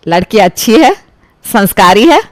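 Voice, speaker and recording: A woman's voice: mid-range voice, very animated, a little slow, no echo. A woman voicing a fussy, demanding but generous mother in a storybook tale, exclaiming and bossy. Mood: approving. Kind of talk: animated storybook dialogue.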